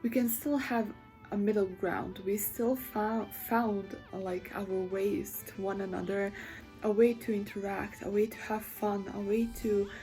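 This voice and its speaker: Young woman chatting loosely in english, lots of likes and you knows, soft music under it. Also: mid-range voice, fairly even intonation, a little slow, low-quality recording. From Sarajevo, Bosnia and Herzegovina. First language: Bosnian. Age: young adult. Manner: casual, chatty, with frequent fillers and restarts. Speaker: university student. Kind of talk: reflective video monologue.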